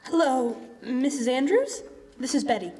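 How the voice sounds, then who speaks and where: Woman playing high-pitched polite teenage girl. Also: animated, normal speed, darkish tone. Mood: polite, bright. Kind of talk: live classic radio drama acting. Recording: echoey stage hall.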